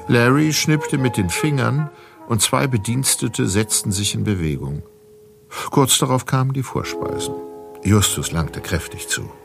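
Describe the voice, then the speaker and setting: A man's voice: mid-range voice, expressive, unhurried, vocal fry. A man narrating a scripted German audio drama as its storyteller. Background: music.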